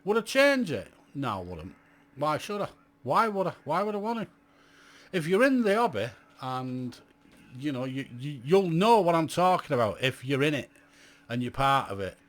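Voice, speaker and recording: A man's voice: on the high side, big pitch swings, moderate pace, a bit bright. A man in his late fifties, a radio amateur looking back on his hobby in a blunt, chatty monologue full of "you know" asides. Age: 50s. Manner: blunt, chatty, self-described grumpy, lots of "you know". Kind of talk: reflective casual monologue to camera.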